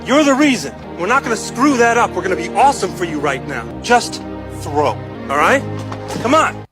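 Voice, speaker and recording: High-voiced, earnest baseball teammate, music behind. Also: male voice, big pitch swings, normal speed. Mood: earnest, rallying. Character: earnest, encouraging baseball teammate, adult man. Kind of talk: naturalistic film drama. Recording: loud music under dialogue.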